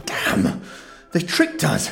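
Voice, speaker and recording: A man's voice: very slow, fairly bright tone, faint music behind. A man playing a bossy, impatient agent in an audio drama comedy, terse and commanding. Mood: frustrated.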